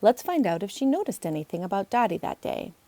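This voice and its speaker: Woman reading as a confident, animated young boy. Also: medium pitch, fast talker, dry recording, lightly acted. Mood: decisive.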